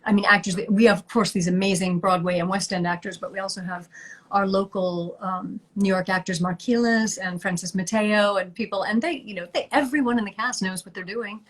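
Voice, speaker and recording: A woman with a medium-pitched voice talks as an actress guest, chatty and lively, often breaking into a laugh. She speaks from home over a video call. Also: fairly quick. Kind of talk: interview chat.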